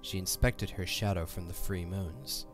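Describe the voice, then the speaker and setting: A man with a low voice narrates a fantasy audio drama. His delivery is scripted and dramatic, with faint music playing underneath. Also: measured pace. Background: background music.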